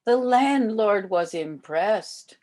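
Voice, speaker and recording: An older woman reading a children's storybook aloud as a volunteer, with expressive delivery aimed at young children. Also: mid-range voice, slow.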